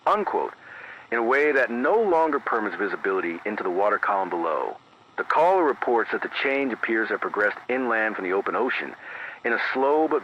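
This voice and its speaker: Low, grave male radio newsreader, muffled signal. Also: pushed and bright. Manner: measured, deliberate, grave, clipped official phrasing. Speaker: radio news anchor in a fictional emergency broadcast. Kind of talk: scripted emergency radio news bulletin. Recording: muffled AM radio sound, background static.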